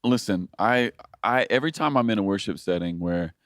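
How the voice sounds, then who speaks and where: A man's voice: fairly low pitch, a little fast, dry recording, clean studio sound. A man hosting a casual podcast interview, affirming his guest with short replies and asking easygoing questions. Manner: warm affirming listener, short "yeah" replies. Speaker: podcast host.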